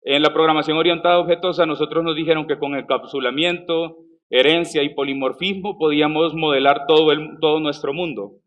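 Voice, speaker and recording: A man's voice: fairly high pitch, fairly even intonation, brisk, highs cut off. A man from El Salvador with a Salvadoran Spanish accent mixed with Colombian words, giving a conversational conference talk with frequent fillers and restarts. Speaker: conference speaker. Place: conference stage.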